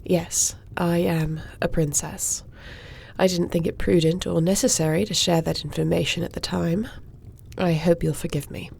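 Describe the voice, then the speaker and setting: A woman plays a warrior princess in a fantasy audio drama, her voice low and creaky. She is sure of herself and courteous, with formal phrasing. Some background ambience is audible. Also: steady tone, normal speed, darkish tone, a little hiss or hum. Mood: self-assured. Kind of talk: fantasy audio roleplay acting.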